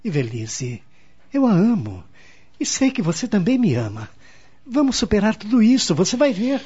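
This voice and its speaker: An actor playing a firm, earnest lawyer and protective husband in a radio soap opera. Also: male voice, high voice, wildly swooping pitch, moderate pace, dark tone, husky, full character voice. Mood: tender. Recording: slightly muffled studio recording.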